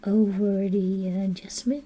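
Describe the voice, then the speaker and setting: A woman with a Dutch accent chatting casually as she colors for a live stream, soft-spoken and unhurried, now and then dropping to a quiet half-whisper. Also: medium pitch, steady tone. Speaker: coloring channel host.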